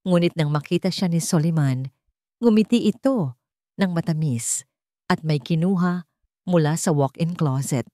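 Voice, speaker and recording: A woman's voice: sits low, normal speed, pauses often, mellow, no echo, clean studio sound. A woman with a Filipino accent reading a scripted dramatic story as an audiobook narrator.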